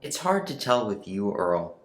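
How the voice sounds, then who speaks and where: A man's voice: on the low side, very animated, unhurried. A man playing a witty, cocky, flirtatious technician with a teasing manner. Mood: mocking. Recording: echoey room, faint noise.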